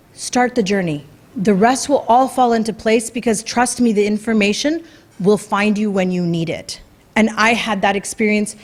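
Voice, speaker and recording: A woman giving an earnest, conversational talk about her own experience at a community event, with fillers and restarts. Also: mid-range voice. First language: English. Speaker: speaker at a community talk night. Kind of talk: personal story talk. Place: event venue before an audience.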